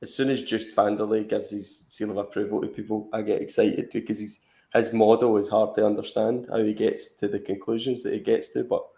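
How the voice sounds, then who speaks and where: A man's voice: fairly low pitch, mellow, roomy sound. A man co-hosting a fantasy football live stream, chatting casually and rambling with lots of fillers and restarts. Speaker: fantasy football podcast co-host.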